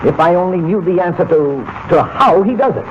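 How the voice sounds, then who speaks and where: Fast, blustering radio newspaper editor. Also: male voice, high voice, animated. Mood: eager, bustling. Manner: flustered, sputtering, emphatic. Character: excitable, blustering male newspaper editor, hungry for scoops. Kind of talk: 1940s radio drama acting. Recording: noisy, dull old-time radio recording.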